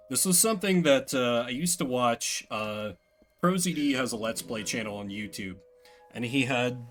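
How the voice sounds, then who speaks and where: A man's voice: mid-range voice, expressive, unhurried, fairly bright tone, faint music behind. A man chatting casually as he streams a game, rambling through opinionated, self-deprecating asides. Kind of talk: casual chat while playing a game. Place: indoors at a desk.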